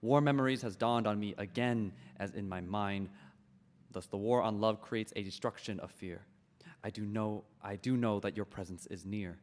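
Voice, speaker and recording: A man reading the part of a lovesick monkey king at a play's table read, slowly chanting and singing his verses. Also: lowish voice, natural voice. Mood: mournful singing. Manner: slow, chanting sung refrains. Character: lovesick monkey king, male adult, brooding. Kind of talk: table read of a stage play, with sung verses.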